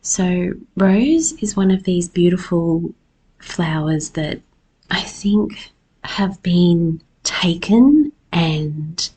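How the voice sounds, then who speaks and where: Husky, slow-talking low-voiced woman. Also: a little mellow, rough recording. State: hoarse after losing voice. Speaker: podcast host. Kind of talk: personal solo podcast talk about herbs.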